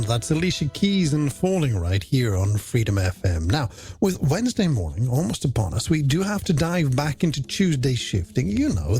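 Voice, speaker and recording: A man's voice: mid-range voice, vocal fry, dark tone. An Irish man presenting a late-night music radio show in a polished, theatrical way with flowery phrasing and rhetorical questions, over a music bed.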